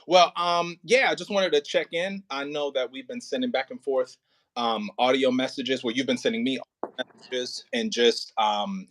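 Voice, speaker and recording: A man working as an audio play director and acting coach, talking casually and informally, with halting pauses and emphatic repetition. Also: medium pitch, a bit bright.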